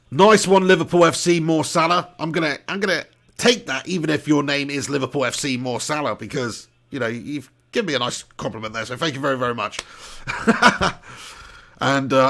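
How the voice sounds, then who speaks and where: Guy, football watch-along host, chatty, slightly high voice, loud bursts on big plays. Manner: chatty, laughing, spiking loud for match action. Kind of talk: live match commentary and chat reading.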